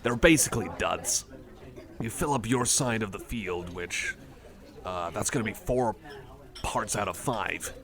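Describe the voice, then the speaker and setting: A man with a fairly low voice rambles casually to a single listener, as in an ASMR roleplay. He meanders and hesitates, with a geeky interest in small details. A busy cafe crowd is clearly heard behind him. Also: unhurried. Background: cafe crowd ambience.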